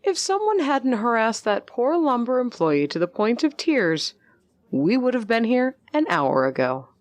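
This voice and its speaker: Casting: affectionate, reproving mother, highish and expressive, audio drama, dry studio sound. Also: measured pace, no echo. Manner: chiding. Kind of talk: scripted audio drama acting.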